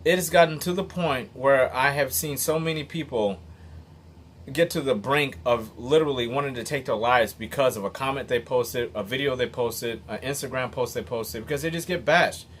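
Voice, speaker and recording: A man's voice: medium pitch, normal speed, fairly bright tone, slight background noise. A man in his late thirties giving an earnest, blunt motivational monologue, emphatic and sometimes swearing. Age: 30s. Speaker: motivational vlogger. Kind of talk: unscripted commentary monologue.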